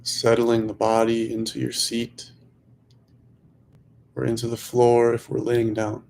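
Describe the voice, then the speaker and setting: A man leading a guided meditation as a teacher, speaking slowly and softly in short phrases with long pauses. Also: mid-range voice, completely flat delivery, creaky, slight background noise. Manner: slow, hushed, long pauses between phrases.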